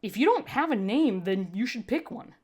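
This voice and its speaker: A gruff, weary medic from an audio story, as a woman acts it out. The speech is blunt and emphatic, ready to argue. It sits higher than the reader's narrating voice. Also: expressive, normal speed, darkish tone. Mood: annoyed.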